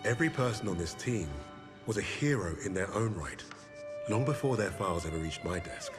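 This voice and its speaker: Deep-voiced British army sergeant. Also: male voice, wildly swooping pitch, fairly quick, over music. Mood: reflective. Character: composed, determined male British army sergeant leading commandos. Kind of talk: dramatic scripted game narration.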